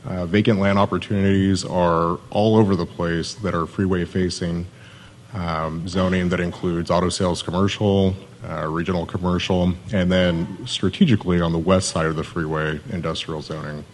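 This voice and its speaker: A man, an economic development manager, giving a conference pitch in a steady monotone with frequent fillers. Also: normal speed, slight background noise.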